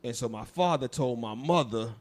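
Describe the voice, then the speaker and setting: A man's voice: medium pitch, normal speed. A man co-hosting a podcast, telling a long story in a casual, laughing manner with lots of swearing. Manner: laid-back, laughing, swearing, acts out quoted voices. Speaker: podcast co-host.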